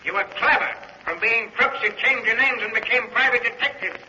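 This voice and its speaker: High-voiced vengeful old man, old radio. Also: brisk, pushed and bright, dull audio, exaggerated voice. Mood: stern accusing. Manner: formal, precise, sardonic. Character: vengeful wealthy old man, coolly gloating, unhinged. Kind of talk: 1940s radio thriller acting. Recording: noisy, echoey 1940s radio recording.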